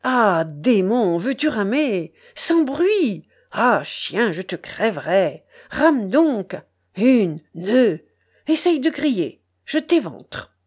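A woman's voice: fairly high pitch, very animated, a little slow, darkish tone. A woman voicing a brutal, commanding male smuggler in an audiobook, harsh, mocking and imperious in manner. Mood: furious, threatening. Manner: harsh, imperious, growling orders. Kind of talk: dramatic audiobook character voice.

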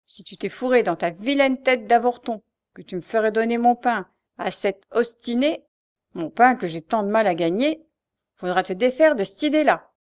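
A woman's voice: high voice, big pitch swings, moderate pace, hardly any pauses, voice pushed up. A woman voicing a vicious old beggar woman who bullies her son, shrieking and coarse. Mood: furious. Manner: screeching, coarse, snarling.

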